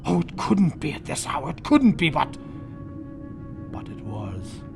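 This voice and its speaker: An actor playing a panicked, guilty husband in 1940s radio horror style, melodramatic, stammering and dropping into breathless whispers. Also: male voice, on the high side, very animated, unhurried, gravelly, exaggerated voice. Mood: terrified, haunted. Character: panicked guilty husband confessing his crime. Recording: old 1940s radio broadcast, loud music behind.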